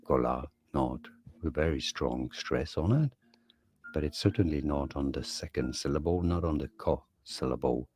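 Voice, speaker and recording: A man with an extremely deep, slightly husky voice narrates a pronunciation guide. He speaks slowly and evenly, explaining each syllable in a measured way. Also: a little mellow. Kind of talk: scripted instructional narration.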